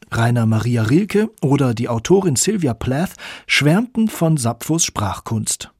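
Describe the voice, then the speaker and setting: A man with a slightly husky voice narrating a scripted radio feature in an articulate, clearly structured way. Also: mid-range voice, darkish tone, no echo, clean studio sound. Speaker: radio documentary narrator and reporter. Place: dry studio.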